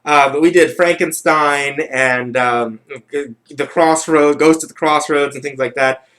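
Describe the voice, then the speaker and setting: A man with a slightly high voice is chatting as the host of a livestream. He talks casually and rambles, doubling back over his own phrases. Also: steady tone, unhurried, a bit bright. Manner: rambling, repeats himself.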